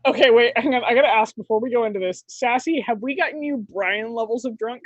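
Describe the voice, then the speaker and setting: A woman co-hosting a casual chat, brisk, blunt and profane. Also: a little high, no echo. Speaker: podcast co-host. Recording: dull lo-fi mic.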